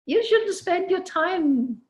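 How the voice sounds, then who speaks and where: A woman from Denmark who grew up in Venezuela, speaking English with an accent as an interview guest, animated and laughing often. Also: very high voice, slow pace, roomy sound. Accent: foreign accent. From Denmark, raised in Venezuela. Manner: animated storytelling, laughs often, many restarts. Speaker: entrepreneur guest. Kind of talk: casual interview chat.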